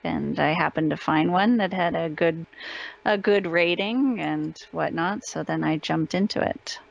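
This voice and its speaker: A woman's voice: low voice, measured pace, vocal fry, lo-fi sound. A woman answering as a guest in a casual interview, telling stories in an easygoing way.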